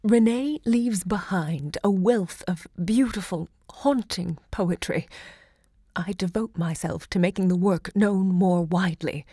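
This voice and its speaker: Proud, ardent salon hostess, theatrical and rhetorical, lowish voice, unhurried, close dry sound. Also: female voice, mellow, slightly rough, dry recording. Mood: resolute, grand. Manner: dramatic, rhetorical, deliberate. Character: passionate, proud American literary salon hostess and lover. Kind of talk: theatrical audio drama narration.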